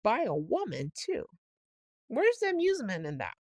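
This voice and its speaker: A woman's voice: fairly high pitch, wildly swooping pitch, moderate pace, darkish tone, no echo, clean studio sound, pitched above the performer's own voice, exaggerated voice. A woman voicing a stern male water rat who lectures his friend in a scolding, emphatic way. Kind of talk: storybook reading.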